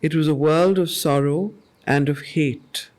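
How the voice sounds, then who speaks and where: A woman's voice: sits low, a little mellow. Earnest woman leading a verse study. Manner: slow, measured recitation that repeats lines of verse, then conversational explaining; earnest, asks the group questions and answers them herself. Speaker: study circle facilitator. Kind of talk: reading verse aloud and explaining it to a group. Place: weekly study group gathering.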